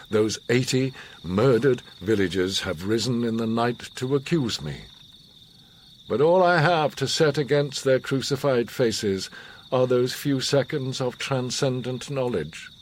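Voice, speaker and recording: An actor playing a grave, commanding, enigmatic older war survivor in a radio drama, speaking in a measured, solemn, philosophical way. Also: male voice, medium pitch, animated, a little hiss or hum, lightly acted. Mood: impassioned. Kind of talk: radio drama monologue and dialogue.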